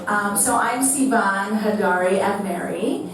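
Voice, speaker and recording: A woman's voice: medium pitch, measured pace, some chatter behind. A woman in her forties from New York, who grew up speaking Hebrew, telling a comic, self-mocking personal story on stage before an audience. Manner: chatty, self-mocking, salty humor. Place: event venue with audience. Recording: echoey hall, picked up from a distance.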